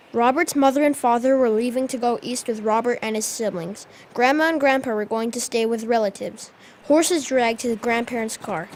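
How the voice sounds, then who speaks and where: A woman's voice: fairly high pitch, a little hiss or hum, lightly acted. A young female narrator reading scripted storytelling for a school radio drama. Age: child or young performer.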